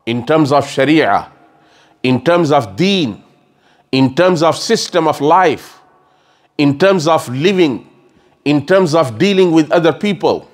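A man's voice: on the high side, expressive, slow, pauses often. A middle-aged man giving a sermon lecture in a mosque, explaining earnestly and repeating phrases as he gestures, into a stand mic on a table. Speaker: religious scholar. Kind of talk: sermon lecture with Quran recitation. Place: mosque lecture room, indoors.

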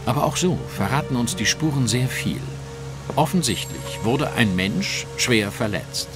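A man's voice: medium pitch, expressive, a little slow, over music, close to an everyday voice. An actor playing a brilliant, self-assured male detective, precise and commanding, in a German audio drama. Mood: analytical, assured.

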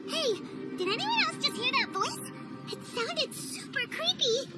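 A woman voicing a tiny, excitable female fairy companion in a game, squeaky and whiny, speaking of herself in the third person. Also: a little slow, heavily acted. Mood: nervous. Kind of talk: video game cutscene voice acting. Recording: game audio with loud music underneath.

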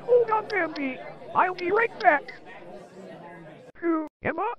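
A woman's voice: very high voice, expressive, slow pace, some chatter behind, exaggerated voice. A woman voicing an earnest young male hero in an amateur cartoon comic dub. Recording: dull lo-fi audio with music.

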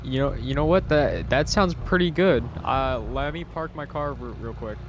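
A man's voice: mid-range voice, moderate pace, background noise, natural voice. A man playing an easygoing taxi driver in an improvised online roleplay, hesitant and repeating his phrases. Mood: agreeable. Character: easygoing taxi driver turned lumberjack recruit.

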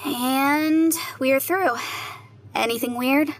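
A woman's voice: high voice, extremely slow. An actress playing a nervous young woman in a horror audio drama, a loyal friend who talks in questions. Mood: questioning.

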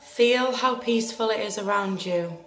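A woman leading a guided meditation in a gentle, soothing, deliberate manner, leaving long pauses between phrases. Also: medium pitch. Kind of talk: guided meditation, scripted narration. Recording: roomy echo with faint music behind.

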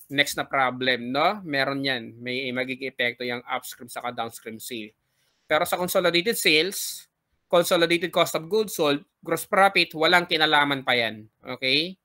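Bright-voiced Filipino man teaching. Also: on the high side. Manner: explanatory, with frequent check-in tags. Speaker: accounting teacher. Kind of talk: informal recorded lecture, talking through worked problems.

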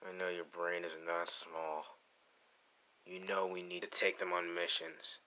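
Brooding young male villain for anime ASMR roleplay, played by a man: very low, gritty with fry, menacing, deadpan, grudgingly fond. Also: heavily acted, low-quality recording. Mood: cool, persuasive. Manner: deadpan, slow, irritable, teasing threats. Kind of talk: ASMR anime audio roleplay, second-person.

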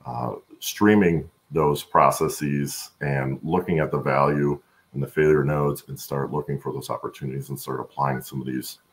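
A middle-aged man with a gravelly voice, explaining his work haltingly as an interview guest on a video call. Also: very deep voice, steady tone. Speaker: guest, supply chain expert. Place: room at home, on a video call.

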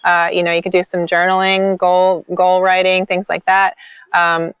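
Lively, chatty woman on a video call. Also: fairly low pitch, moderate pace, smooth, dry recording. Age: 40s. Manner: enthusiastic, frequent ums and likes. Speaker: podcast guest, sprint coach. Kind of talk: casual interview chat.

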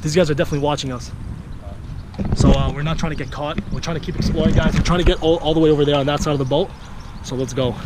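A hyped young man with a slightly high voice narrates an exploration vlog, speaking into a handheld action camera on an open deck by the water. Wind and water noise sit clearly behind him. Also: fairly even intonation, fast talker. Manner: hyped, repeats "guys" and "literally". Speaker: vlogger host. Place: outdoors on a rusted wrecked ship by water.

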